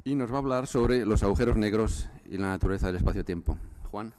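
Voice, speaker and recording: A man moderating a lecture, introducing the speaker haltingly with many "eh" pauses. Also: fairly low pitch, a little mellow. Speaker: event moderator. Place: lecture hall. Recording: long hall reverb.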